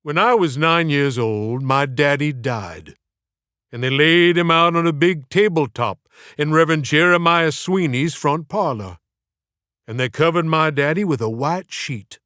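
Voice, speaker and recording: A man's voice: fairly high pitch, a little slow, sharp tone, clear, resonant tone, no room sound, studio quality. A man voicing a folksy, plain-spoken man telling a spooky childhood tale in an audiobook. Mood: hushed storytelling.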